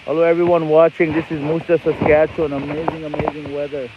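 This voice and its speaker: A man's voice: fairly high pitch, flat delivery, quick pace. A man from Nepal, chatting cheerfully and rambling while he livestreams from an e-bike outdoors on his phone. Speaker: livestream host. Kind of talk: casual livestream chat. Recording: lo-fi phone stream with loud outdoor noise.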